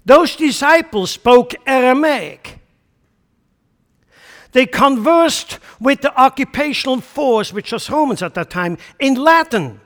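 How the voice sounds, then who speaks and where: A man's voice: very high-pitched, lively intonation, takes it slowly, a bit bright, long reverb tail. A man from central Switzerland with a Swiss German accent, preaching a sermon with emphatic rhetorical questions. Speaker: pastor.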